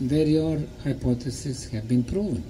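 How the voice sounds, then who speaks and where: Slow, very mellow male lecturer. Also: mid-range voice, slight background noise, poor audio. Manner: deliberate, emphatic, didactic. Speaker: lecturer and guide. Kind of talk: lecture in short phrases pausing for an interpreter.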